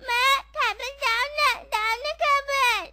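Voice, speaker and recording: A woman's voice: very high voice, a little slow. Woman doing a little tiger cub girl. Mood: childlike. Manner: whiny, pleading. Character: little tiger cub daughter, hungry and pleading. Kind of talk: cartoon voice acting. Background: loud cartoon music.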